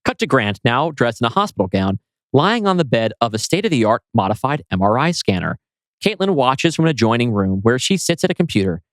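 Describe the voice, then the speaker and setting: A man's voice: medium pitch, very animated, fairly quick, dry recording. A man narrating a screenplay dramatically as its writer, presenting stage directions and scene changes. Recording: music playing behind voice.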